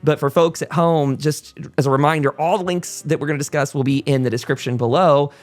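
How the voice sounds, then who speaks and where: A man's voice: fairly high pitch, steady tone, a little fast. A man hosting a podcast in a chatty, informal way, moving between announcements and casual interview talk. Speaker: podcast host.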